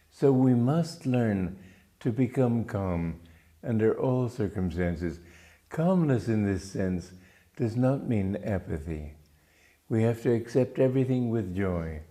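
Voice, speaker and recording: An older man from Romania with a mixed English-American accent, giving a calm spiritual talk with stories. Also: fairly low pitch. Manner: calm, measured, storytelling. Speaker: spiritual teacher.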